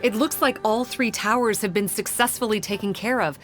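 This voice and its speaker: A woman playing a composed, analytical guide in a fantasy game, speaking in a measured, formal, explanatory way. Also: medium pitch, over loud music, close to an everyday voice. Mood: composed, serious. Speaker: game character giving quest briefing. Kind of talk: scripted game dialogue.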